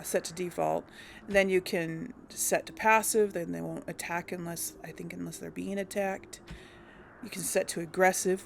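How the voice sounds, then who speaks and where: Slow, gravelly woman chatting through gameplay. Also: on the low side, a little hiss or hum. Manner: chatty and unsure, thinking aloud with many ums. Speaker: let's play host. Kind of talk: casual gameplay commentary.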